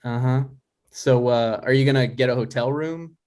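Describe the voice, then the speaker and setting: A man's voice: medium pitch, normal speed, a little mellow, lightly acted. A man playing a dry, exasperated, reluctant adult son in a comedy table read, hesitant and natural in delivery.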